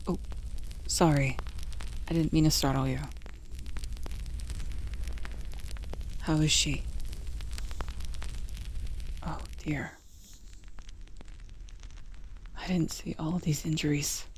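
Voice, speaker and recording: A woman playing a calm, caring, quietly wry witch in an ASMR roleplay, speaking softly and in a measured, soothing way. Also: sits low, a little hiss or hum, low-quality recording. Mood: gentle concern. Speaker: voice actress. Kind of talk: ASMR roleplay acting addressed to the listener.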